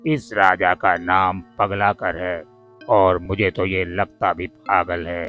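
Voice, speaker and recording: A man voicing a calm, clever guru in a cartoon, measured and persuasive in his reasoning. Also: low-pitched, faint music behind, exaggerated voice. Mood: calm persuasion.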